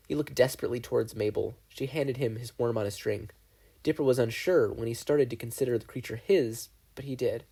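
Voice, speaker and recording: A man reading fan fiction aloud in a steady, unhurried narration. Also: mid-range voice, slightly rough. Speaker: podfic reader.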